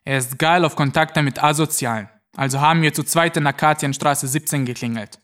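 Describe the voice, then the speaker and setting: A man's voice: a little high, a little fast, fairly bright tone, lightly acted. An actor playing a tough, crude young gang member, telling his story in brazen slang in an audio drama. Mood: brazen, scornful. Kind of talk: narrated monologue in a German audio play.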